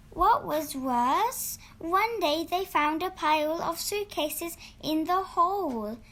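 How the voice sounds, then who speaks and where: A woman narrating a children's storybook in an expressive, deliberate read-aloud style. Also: very high-pitched. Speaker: storybook reader.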